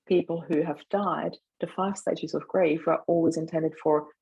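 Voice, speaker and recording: A middle-aged woman speaks as a podcast guest, sharing personal experience in a reflective, emphatic way. Her voice is husky with a gravelly creak, and her pitch stays fairly even as she talks. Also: moderate pace, highs cut off. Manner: reflective, emphatic, acts out remembered remarks. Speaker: podcast guest, grief coach. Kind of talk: personal storytelling in an interview.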